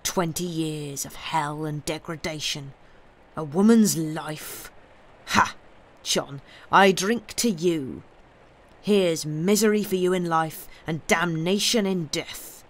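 A man voicing a bitter, menacing ex-convict gentleman in an audiobook, declaiming with grim sardonic mockery. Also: fairly high pitch, takes it slowly. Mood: bitter toast.

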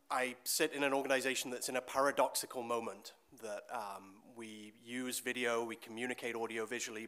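A man's voice: lowish voice, a bit bright. A middle-aged man introducing his work on a conference panel, articulate and earnest. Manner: articulate, earnest, speeding up at times. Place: conference hall stage. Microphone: table microphone.

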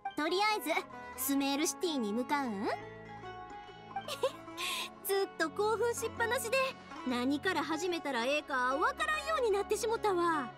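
Chatty warm anime game girl, kansai accent, really high animated voice, excitable, music behind. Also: normal speed. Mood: excited, amazed. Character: bubbly, warm-hearted young woman from a festive town.

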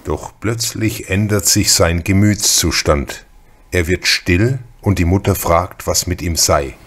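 A male narrator reading scripted narration for a radio drama in a calm, matter-of-fact way. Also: low voice, slow.

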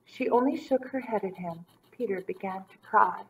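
A woman in her thirties reading a children's story aloud with dramatic emphasis and drawn-out words. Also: mid-range voice, a little mellow, slight background noise, poor audio. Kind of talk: expressive storybook read-aloud for young children. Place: small indoor room set up as a classroom.

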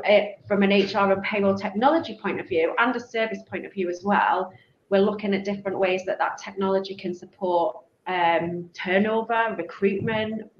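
A middle-aged woman with a Manchester accent, speaking as a webinar panel guest and laying out her points thoughtfully. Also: medium pitch. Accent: Mancunian. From Manchester, England. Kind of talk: webinar discussion. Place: office, video call. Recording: roomy, dull video-call audio with faint noise.

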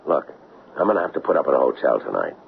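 Wry, dogged former police sergeant, very animated and creaky, sardonic 1970s radio drama delivery. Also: male voice, rumbling low, fast, heavily acted. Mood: brisk. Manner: sardonic, probing. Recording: dull lo-fi 1970s radio broadcast, hissy.

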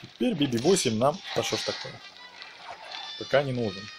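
A man with a mid-range voice hosts a gameplay video. He narrates what he is doing in short, informal phrases. Music runs clearly behind his commentary. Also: medium pitch, big pitch swings, moderate pace. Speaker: gaming video host. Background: game music.

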